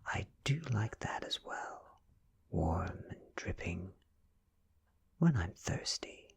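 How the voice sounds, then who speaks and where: A man with a British accent reads in a very breathy, husky whisper. His delivery is extremely unhurried and hushed, with deliberate pauses between short phrases, in the style of an ASMR bedtime story. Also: deep voice, sing-song. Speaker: audiobook-style ASMR reader. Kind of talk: soft-spoken ASMR story reading.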